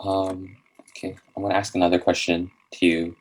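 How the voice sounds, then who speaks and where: A man's voice: low-pitched, little pitch movement, fairly quick, poor audio. A man from Indonesia, a native Bahasa Indonesia speaker, hosting an interview and giving brief, encouraging replies over a video call. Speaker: host. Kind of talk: casual interview chat.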